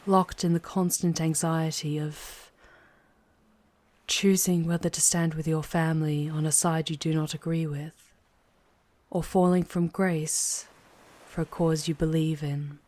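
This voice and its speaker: A woman playing a charming, poised female demon guide in an intimate ASMR roleplay, speaking in a measured way with breathy, hushed touches. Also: low-pitched, little pitch movement. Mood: pensive, gentle. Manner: measured, deliberate, often dropping into breathy whispers.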